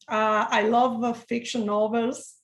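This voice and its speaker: A woman's voice: a little high, level tone, slow pace, echoey room. A middle-aged woman with an Italian accent, a researcher being interviewed, speaking earnestly with many hesitant restarts and fillers. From Italy. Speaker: liver researcher, interview guest. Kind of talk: video call interview.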